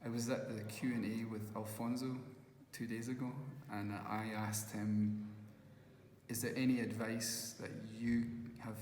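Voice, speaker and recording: A man's voice: mid-range voice, level tone, unhurried, a little mellow, a little hiss or hum. A Scottish man with a Glasgow accent, a musician turned first-time filmmaker, giving rambling interview answers full of restarts. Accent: Glaswegian. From Scotland. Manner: rambling, with many restarts and acted quotes. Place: festival venue. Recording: echoey hall, faint ambience.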